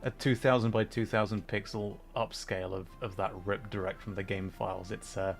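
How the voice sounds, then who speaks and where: A man hosting a gaming livestream, chatting casually and musing aloud in a halting way. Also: lowish voice, fairly even intonation, gravelly, faint music behind. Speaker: livestream host.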